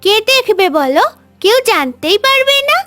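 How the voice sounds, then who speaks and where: A woman voicing a kind, curious little girl in an animated story, sweet and pleading. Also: extremely high pitch, normal speed, soft background music, heavily acted. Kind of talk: Bengali animated story voice acting.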